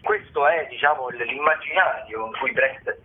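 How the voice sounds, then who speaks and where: A man's voice: mid-range voice, normal speed, slight background noise. A man presents arts listings as a radio host in a semi-improvised, hesitant way, searching for words mid-phrase. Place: radio broadcast. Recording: tinny, narrow phone-like radio sound.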